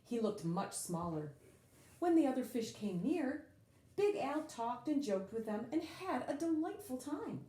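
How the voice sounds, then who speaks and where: A woman with a fairly high, mellow voice reads a picture book aloud for children. Her delivery is expressive and dramatic, rising and falling widely, with drawn-out emphasis on important words. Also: reverberant. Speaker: storyteller reading aloud.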